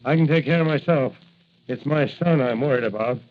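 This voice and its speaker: An actor playing a proud, stern city mayor and protective father, speaking with deliberate authority in old radio drama style. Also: mid-range voice, slight background noise, close to an everyday voice. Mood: firm, concerned. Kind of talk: 1940s radio drama acting. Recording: muffled vintage radio recording.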